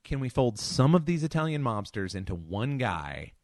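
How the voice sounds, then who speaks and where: A man in a casual podcast discussion, with a medium-pitched voice that moves up and down a lot. He explains his points with wry, firm opinions. The sound is close and dry, free of room echo. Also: very animated, moderate pace. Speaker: podcast co-host and superhero scholar.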